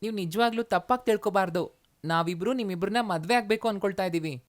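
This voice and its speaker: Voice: medium pitch, fast talker, close to an everyday voice. A narrator voicing an earnest, polite young male demon in human form for a story. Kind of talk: animated moral story dialogue.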